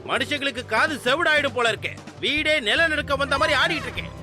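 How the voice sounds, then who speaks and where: Very shrill hot-tempered cartoon bully. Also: male voice, fast, over music. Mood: furious. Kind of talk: cartoon dub.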